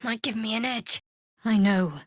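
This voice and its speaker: A woman's voice: a little high, steady tone, moderate pace, dry recording, lightly acted. A woman playing a guarded, sardonic young female cleric in a video game, with a dry, aloof, clipped delivery. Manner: dry, aloof, clipped sarcasm with earnest undertones. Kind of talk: video game character voice acting.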